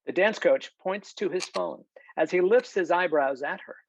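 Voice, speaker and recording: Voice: medium pitch, moderate pace, vocal fry. A narrator reading scripted stage directions for a screenplay table read over a video call. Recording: dull, lo-fi video call audio.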